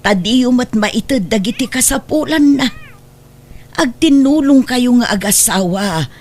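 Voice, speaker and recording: A woman's voice: a little high, animated, measured pace, a little mellow. An actress with a Filipino accent playing an older, worried mother and grandmother who scolds and laments in a radio drama. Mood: reproachful. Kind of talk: Ilocano radio drama acting.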